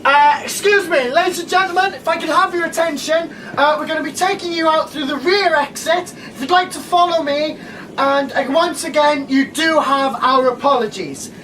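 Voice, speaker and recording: An actress as an officious woman shop worker, apologetic announcer, high voice, far from the microphone, comedy audio drama. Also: moderate pace, a bit bright. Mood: apologetic, announcing. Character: apologetic, officious female supermarket staffer herding customers. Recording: distant from mic, faint noise.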